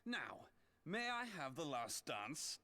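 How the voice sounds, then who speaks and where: A man's voice: fairly high pitch, sing-song, takes it slowly, sharp tone, heavily acted. An actor playing a charming, roguish young male dagger fighter with a playful, wry way of speaking in a game cutscene.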